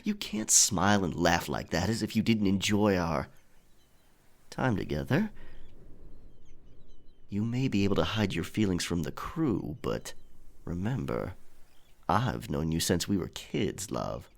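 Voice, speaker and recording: A man's voice: low-pitched, very animated, a little slow, gravelly, long pauses, heavily acted. A man playing a roguish, affectionate pirate captain in an intimate, teasing romantic ASMR audio roleplay.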